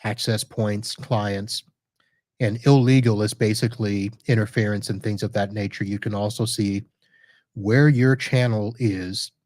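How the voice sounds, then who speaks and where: A man hosting a podcast, casually walking through a software demo live while sharing his screen, chatty and correcting himself as he clicks around. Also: lowish voice, fairly even intonation, unhurried, dry recording, clean studio sound. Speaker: podcast host reviewing network gear.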